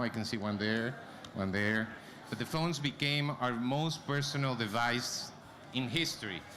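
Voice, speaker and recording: A man presents at a conference in an informal way, addressing the audience directly. His voice is bright and forceful, at a middling pitch. Faint noise from the event space sits behind him. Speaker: conference presenter, company vice president. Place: conference stage before an audience. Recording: clear background noise, live event room.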